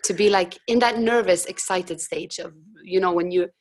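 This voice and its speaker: A young woman with a husky voice, a musician guest chatting casually in an interview, hesitant with frequent restarts. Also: on the low side, moderate pace, low-quality recording.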